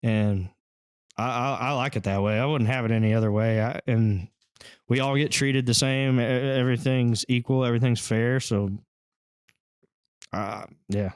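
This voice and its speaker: A laid-back man talking about his music in an easygoing, humble way. His voice sits at an ordinary middle pitch for a man. It is recorded dry and close, with no room sound. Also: fairly even intonation, moderate pace, clear, resonant tone, no room sound. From Texas. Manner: easygoing, modest, plain-spoken. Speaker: band lead singer, podcast guest. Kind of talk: casual chat.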